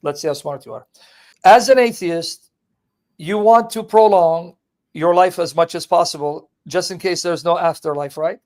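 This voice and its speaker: A man's voice: high-pitched, normal speed, a little mellow. A man hosting a debate, brash and mocking, firing questions at a caller. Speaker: host. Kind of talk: combative debate talk.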